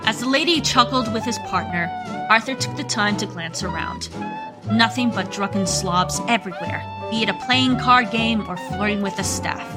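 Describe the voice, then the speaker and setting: A woman's voice: mid-range voice, animated, moderate pace. A woman narrator reading a scripted dramatic story for a radio play. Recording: loud music under the voice. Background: loud music.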